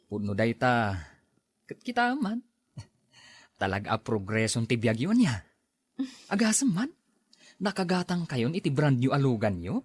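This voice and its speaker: Lively, husky Ilocano actor as an easygoing husband. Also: male voice, mid-range voice, a little slow, pauses often, no room sound. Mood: friendly. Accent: Ilocano Filipino. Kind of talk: Ilocano audio drama acting, conversational.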